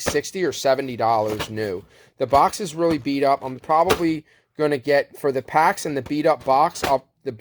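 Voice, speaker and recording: A man's voice: medium pitch, noisy background. A man chatting casually and unscripted as he shows resale finds, rambling and thinking aloud in an unsure way. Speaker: online reseller showing thrift finds. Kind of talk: casual unscripted show-and-tell chat.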